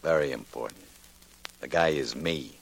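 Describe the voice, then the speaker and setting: A man playing a smooth, cocky racketeer, cool and clipped, in 1940s radio drama style. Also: very deep voice, normal speed, heavily acted, rough recording. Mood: cool, businesslike. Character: smooth, cocky male racketeer with many enemies.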